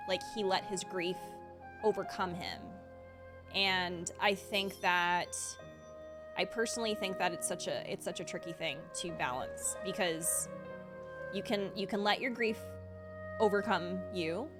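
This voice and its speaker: A woman streamer giving casual, chatty reaction commentary on a game, often restarting her sentences and laughing. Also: mid-range voice. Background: game music.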